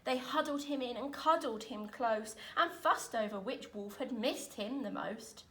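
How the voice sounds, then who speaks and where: Woman, higher-pitched voice, expressive children's storyteller, dramatic deliberate phrasing, echoey room recording. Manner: dramatic, deliberate phrasing with emphatic swells and hushes. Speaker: storyteller reading aloud, teacher. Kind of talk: expressive children's picture-book read-aloud in rhyme. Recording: roomy echo, distant microphone.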